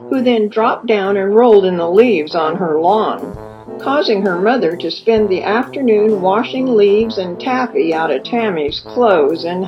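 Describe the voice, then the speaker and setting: A woman reading a children's story aloud as an expressive narrator, stretching words for emphasis. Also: fairly high pitch. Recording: dull lo-fi recording with music behind. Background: music.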